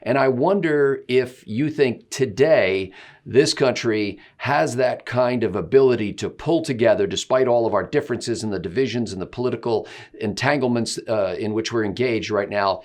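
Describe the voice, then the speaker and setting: A man with an American accent asking interview questions in a measured, formal and wordy way. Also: mid-range voice.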